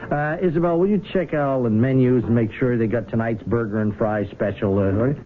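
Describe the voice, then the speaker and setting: An actor playing an evasive, short-tempered restaurant manager with an American accent, stalling and bristling in a radio drama. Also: male voice, medium pitch, brisk, slight background noise, natural voice. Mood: defensive, irritated. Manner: guarded, brusque, stalling. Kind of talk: 1970s radio drama acting. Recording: muffled vintage radio, faint music.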